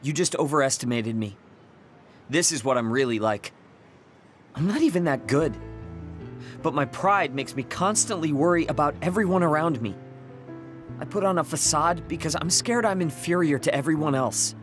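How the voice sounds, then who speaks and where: An actor playing a proud, insecure young soldier in an anime video game, curt and defensive. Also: male voice, a little high, expressive, normal speed, pauses often, close to an everyday voice. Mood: brooding, confessing. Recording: loud music under voice. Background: game soundtrack music.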